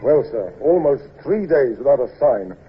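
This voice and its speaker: An actor playing a deferential, anxious and loyal naval subordinate in an old radio drama, respectful and earnest. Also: male voice, mid-range voice, sing-song, normal speed, over music, natural voice. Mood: concerned reporting. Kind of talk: 1950s radio drama acting. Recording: dull, hissy old radio broadcast recording.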